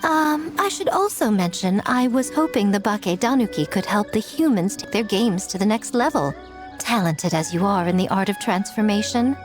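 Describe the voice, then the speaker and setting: A woman's voice: mid-range voice, lively intonation, normal speed, music in the background, natural voice. A woman voicing a sly, playful young kitsune in a video game, polite and sweetly teasing. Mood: coy. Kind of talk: animated video game voice acting.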